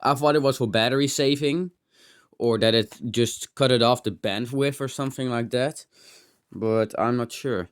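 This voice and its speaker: A man's voice: medium pitch, normal speed, smooth. A man giving a casual, unscripted hands-on gadget demo, rambling and thinking aloud with some mild swearing. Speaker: host of a tech teardown channel.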